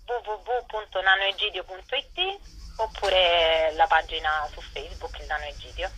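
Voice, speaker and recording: A woman's voice: on the low side, moderate pace, fairly bright tone, low-quality recording. A woman chatting casually as an interview guest over the telephone. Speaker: theatre company member, interview guest.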